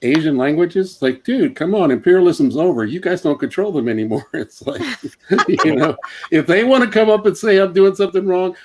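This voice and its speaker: A man talking casually as a comedian giving advice, repeating phrases haltingly as he explains. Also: high voice, lively intonation, crowd noise.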